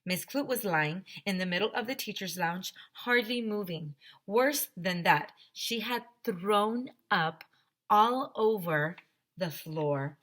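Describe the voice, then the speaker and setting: Bright-voiced woman teacher reading a children's story. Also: mid-range voice, slow pace. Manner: animated, emphatic, talks directly to young listeners. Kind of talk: expressive children's storybook read-aloud.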